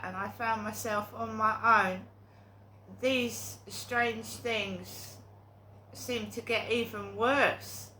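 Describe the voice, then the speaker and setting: Woman, middle-aged, has a cold, hesitant, very slow, bright tense voice. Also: medium pitch, slight background noise, poor audio. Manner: halting, hesitant, many ums and restarts. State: has a cold and sore throat. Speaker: vlogger. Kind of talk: rambling personal monologue.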